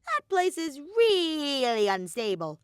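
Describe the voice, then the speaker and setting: A woman's voice: sits high, huge pitch swings, takes it slowly, no room sound, clean studio sound, voice pushed up, full character voice. A woman voicing a mischievous, callously cheerful bear mascot villain in a theatrical, flippant manner. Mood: gleeful.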